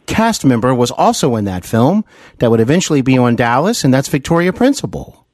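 A man with a medium-pitched voice hosts an interview podcast. He speaks in an attentive, deliberate and agreeable conversational style. The sound is dry, with little room echo. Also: very animated, darkish tone. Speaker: podcast host.

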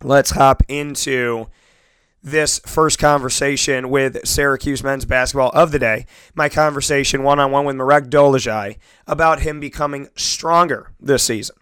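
Hoarse, raspy male sports-radio host. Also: medium pitch, fairly even intonation, moderate pace, a bit bright, dry recording. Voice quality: scratchy, raspy. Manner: chatty, self-deprecating, addresses listeners as folks. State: hoarse, losing his voice. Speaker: sports talk show host. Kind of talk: casual sports radio talk.

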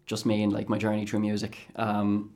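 A man's voice: on the low side, monotone, moderate pace, mellow. A man chatting casually as a musician guest in a radio studio. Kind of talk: casual chat.

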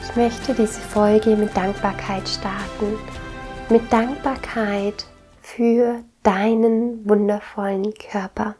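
A woman hosting a reflective wellness podcast, congested with a cold, speaking gently and earnestly straight to the listener. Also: mid-range voice, steady tone, takes it slowly, over music. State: congested with a cold, stuffy nose. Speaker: podcast host.

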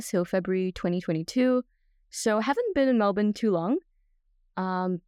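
A woman's voice: lowish voice, darkish tone, clean tone, no echo, studio quality. A woman in her twenties from Singapore, chatting casually as a guest on a podcast. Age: 20s. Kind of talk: casual interview chat.

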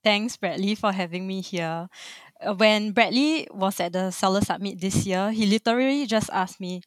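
A woman's voice: mid-range voice, normal speed, dry recording. A young woman from Singapore with a Singaporean English accent, chatting cheerfully as a podcast guest and laughing often. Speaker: guest, Amazon account manager. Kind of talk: casual interview chat.

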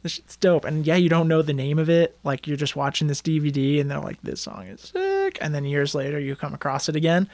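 A man in casual chat who talks in an animated way and swears casually. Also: on the high side, a little fast, hardly any pauses, no room sound.

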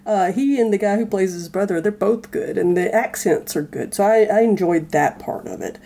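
A woman's voice: mid-range voice, lively intonation, normal speed, gravelly, mellow. A woman chatting casually as a podcast guest, informal and a little hesitant. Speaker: guest co-host. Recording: noticeable background noise.

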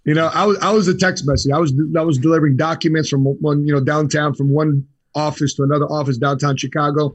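A man's voice: on the high side, breathy edge, dry recording. A man being interviewed on a podcast, telling his story steadily in short punchy phrases. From United States. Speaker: guest. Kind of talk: casual interview.

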